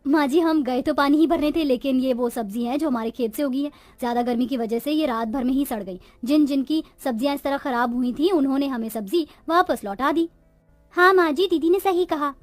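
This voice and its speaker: Poor village housewife for a cartoon moral tale, played by a woman: high voice, dramatic, complaining, close dry recording. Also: a little fast, dry recording, soft background music, close to an everyday voice. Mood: indignant. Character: village women of a poor family, resourceful daughters-in-law and their mother-in-law. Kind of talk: Hindi cartoon moral-story dialogue.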